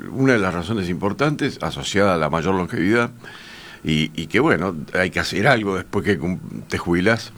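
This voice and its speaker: A man's voice: low-pitched, fairly bright tone. A Uruguayan man, a university teacher, speaking in a panel discussion with frequent hesitations and restarts. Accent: Uruguayan Spanish. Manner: hesitant, many fillers and restarts.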